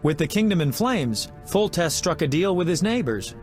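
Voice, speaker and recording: An actor playing a self-important bard in a video game, speaking in a theatrical, wordy and courtly manner. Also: male voice, on the high side, very animated, moderate pace, a little mellow, soft background music. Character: dramatic, flowery, self-important male bard.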